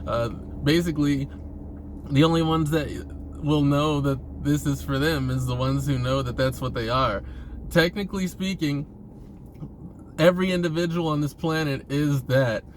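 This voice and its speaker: A man's voice: a little high, normal speed, background noise. A man talking casually in a rambling monologue, hesitant and often restarting his phrases, as a vlogger posing questions.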